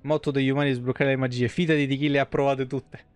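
A man's voice: mid-range voice, level tone, fast, clean tone, faint music behind. A young man from Italy doing casual live gameplay commentary, playful and foul-mouthed. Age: young adult. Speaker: live game streamer.

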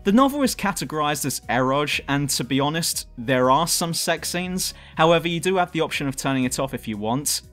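A man's voice: fairly high pitch, lively intonation, fairly quick, hardly any pauses, fairly bright tone, soft background music. A man reading a scripted countdown review as host, with a cheeky, irreverent manner. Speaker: host and reviewer of a top ten list show.

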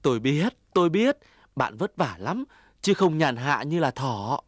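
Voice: mid-range voice, big pitch swings, unhurried, dry recording, clean studio sound. An actor playing a sly, deceitful cartoon weasel who wheedles like a friend and boasts like a crook. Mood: wheedling, insinuating. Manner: wheedling, swings into boastful cackling. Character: sly deceitful weasel, fake-friendly, gloating when triumphant. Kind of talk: Vietnamese children's cartoon acting.

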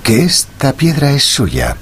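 Shrewd gentleman detective for radio drama: Castilian Spanish accent, mid pitch, formal, self-assured, expressive intonation. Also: slow, gravelly, slight background noise, heavily acted. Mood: politely confident. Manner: authoritative, precise, formal.

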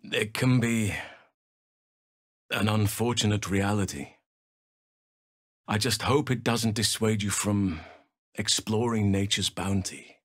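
A man voicing a stoic, earnest druid devoted to nature in a video game, speaking in measured, formal fantasy phrasing. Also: lowish voice, steady tone, dry recording, studio quality. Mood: soft, reflective. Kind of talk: video game character voice lines.

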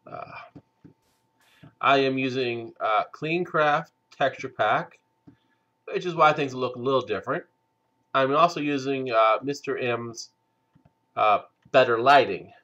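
A man hosting a game let's play, stuffed up from a cold, talking slowly with many hesitant fillers. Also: medium pitch, bright, forceful tone, muffled recording. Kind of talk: casual gameplay commentary.

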